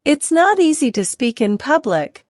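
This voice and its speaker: A woman reading a scripted self-introduction slowly and clearly for learners to repeat. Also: high-pitched, sing-song, a little mellow, dry recording, clean studio sound. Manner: clear, deliberate, evenly paced delivery. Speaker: English teacher reading a model speech. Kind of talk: slow scripted reading for repetition practice.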